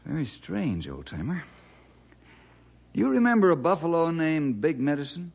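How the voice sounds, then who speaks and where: A principled forest ranger in a vintage radio play. He speaks in an earnest, measured and fatherly manner. The sound is dull and narrow, like an old broadcast. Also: fairly high pitch, big pitch swings, creaky, husky, heavily acted. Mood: thoughtful. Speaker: ranger and lead character. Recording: dull, band-limited old radio recording.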